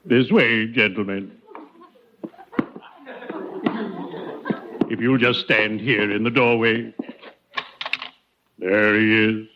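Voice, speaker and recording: An actor playing a solemn, droll undertaker in an old radio comedy, speaking in a measured, formal, deadpan way. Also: male voice, lowish voice. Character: solemn, droll male undertaker, calm co-conspirator. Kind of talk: 1940s radio comedy acting. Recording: muffled, noisy old radio recording.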